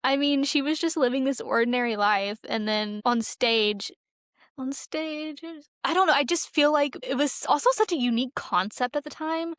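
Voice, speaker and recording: A young American woman with a fairly high voice talks casually, like a co-host on a podcast. She tells stories in a chatty, informal way, and the sound is close and dry. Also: normal speed, fairly bright tone, no echo. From Tennessee, USA. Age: young adult.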